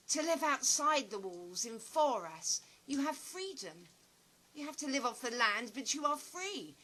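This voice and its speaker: An actress playing an eccentric, nervous former actress in a dystopian audio play, rambling with theatrical emphasis. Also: female voice, on the high side, bright, forceful tone, full character voice, low-quality recording.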